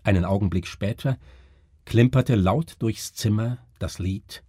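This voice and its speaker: Husky, dark-voiced man narrating a gothic tale. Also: lowish voice, unhurried, no echo, clean studio sound. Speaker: reader of a literary story.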